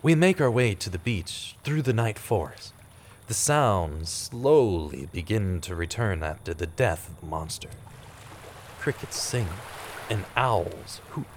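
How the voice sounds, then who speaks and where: A man's voice: lowish voice, a little hiss or hum. An actor playing a guarded but compassionate man on the run in a radio drama, earnest and cautious. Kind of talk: audio drama acting with first-person narration.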